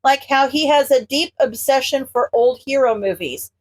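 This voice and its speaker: A woman narrator reading a story aloud in an expressive, scripted audiobook style. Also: high-pitched, moderate pace. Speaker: podfic narrator.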